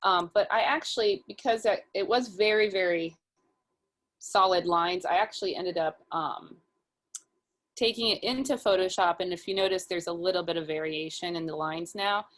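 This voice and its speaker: A woman with a slightly low-set voice talks casually as a guest illustrator. She explains how she works in informal, step-by-step fashion, as in a relaxed interview conversation. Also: moderate pace.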